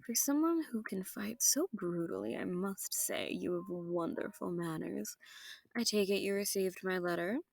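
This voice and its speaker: A woman's voice: medium pitch, normal speed, creaky, darkish tone, no echo. A woman playing a seductive, sadistic vampire noble in an intimate audio roleplay, genteel and teasing with menace, often sinking into whispers. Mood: sultry, admiring. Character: seductive, sadistic, possessive female vampire noble hosting her foe.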